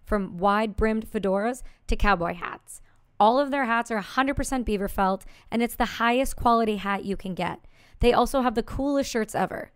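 Smooth woman reading sponsor ads. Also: medium pitch, fairly bright tone, no room sound, studio quality. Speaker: ad reader. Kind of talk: scripted sponsor reads.

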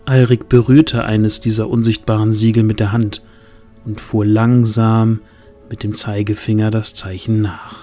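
A man's voice: on the low side, flat delivery, a little slow, warm tone, soft background music. A man narrating a scripted fantasy audio drama in a dramatic storytelling manner.

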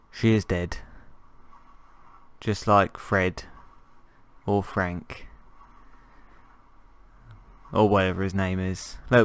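A man with a croaky voice from a cold, chatting casually and jokingly as he plays and narrates a survival game. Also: low-pitched. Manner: rambling, jokey, thinking aloud. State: hoarse from a cold. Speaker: gameplay commentator. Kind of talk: casual gameplay commentary.

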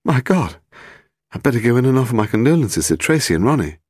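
A man voicing an anxious, flustered gentleman publisher in an audiobook. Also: mid-range voice, big pitch swings, fast talker, dry recording, clean studio sound, voice pushed up. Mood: dismayed. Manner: flapping, anxious.

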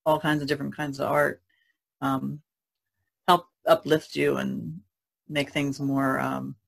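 A woman chatting casually as an artist on a podcast panel, in a tentative, halting way. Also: a bass voice, flat delivery, vocal fry.

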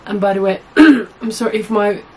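A young woman with a husky voice, hoarse from a cold, chatting to camera as a sewing vlogger in a small room at home, rambling and correcting herself often. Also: mid-range voice, normal speed, a little hiss or hum, low-quality recording. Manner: chatty, rambling, many fillers and self-corrections. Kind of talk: casual chat to camera.

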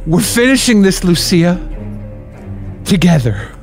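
A man's voice: high-pitched, very animated, darkish tone, exaggerated voice. A man playing an earnest, melodramatic secret agent hero in a spy radio drama parody. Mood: resolute, tender. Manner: slow, melodramatic delivery. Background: music.

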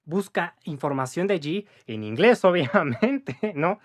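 A young Mexican man in his twenties talks straight to the camera as an English teacher, in a chatty, upbeat way. His voice sits a little high for a man, and he keeps checking in with quick tag questions. He is recorded indoors at close range. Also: lively intonation. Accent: Mexican Spanish. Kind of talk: casual video lesson. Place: indoor room with a patterned wall.

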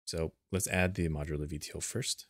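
A man narrating an unscripted step-by-step software tutorial in a plain, instructional manner, recorded as a screen voice-over. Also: very deep voice, fairly even intonation, brisk, dry recording, clean studio sound.

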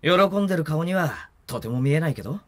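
Brooding young man, anime drama, terse, gruff, husky, resigned. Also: expressive, fast talker, no echo. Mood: probing. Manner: low-key, terse, gruff. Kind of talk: Japanese anime audio drama acting.

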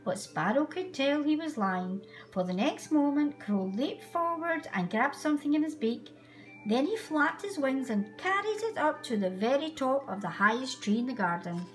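A woman narrates a children's story in a highish, very animated voice. She reads aloud in storybook style, and music plays behind her. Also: moderate pace, low-quality recording. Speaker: storybook reader.

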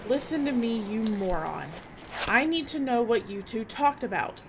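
An actress playing a bossy, impatient, sharp-tongued filmmaker in an audio drama. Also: female voice, on the high side, sing-song, moderate pace. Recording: dull, noisy audio drama recording.

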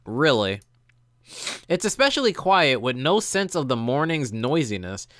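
A man's voice: medium pitch, big pitch swings, measured pace, a bit bright, dry recording, studio quality. A young man doing casual gameplay commentary, reading story text aloud and reacting informally. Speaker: let's player. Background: game music.

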